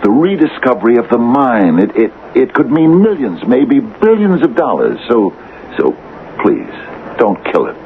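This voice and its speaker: A man's voice: medium pitch, sing-song, measured pace. An actor playing an anxious, superstitious small-town man who pleads and stammers earnestly, in an old-time radio drama. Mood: urgent, halting. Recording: dull, hissy vintage radio recording.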